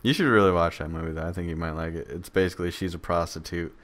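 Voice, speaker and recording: Young male podcast co-host, very low voice, relaxed and chatty. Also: fast talker. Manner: laid-back, laughs often, recommends movies. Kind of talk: casual chat.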